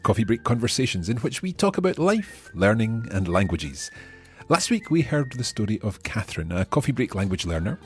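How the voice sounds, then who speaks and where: A man hosting a podcast, reading a scripted intro and then chatting with a guest in a friendly way, with frequent chuckles. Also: mid-range voice. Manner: friendly, chuckles often, some hesitant fillers. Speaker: podcast host and interviewer. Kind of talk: scripted intro then relaxed interview chat.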